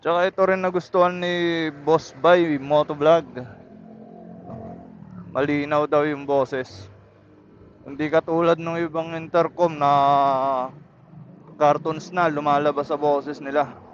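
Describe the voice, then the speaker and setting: A man's voice: on the high side, flat delivery, slow pace, long pauses, a little hiss or hum. A man with a Filipino accent chatting casually as a motovlogger, talking through a helmet intercom microphone while riding. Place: outdoors on a motorcycle on the road. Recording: muffled helmet intercom mic.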